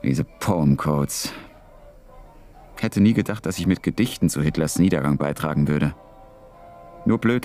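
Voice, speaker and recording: Wartime spy-service officer for radio play, deep register, wry and dryly ironic. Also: male voice, darkish tone. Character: wry male British wartime intelligence officer, dryly sardonic. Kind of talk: radio drama acting.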